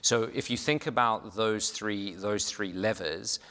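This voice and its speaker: South african guy on a panel, lowish voice, kinda bright, explaining stuff with lots of um and right, echoey hall. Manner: measured, explanatory, frequent "um" and "right?". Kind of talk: conference panel discussion. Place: conference hall. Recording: hall reverb.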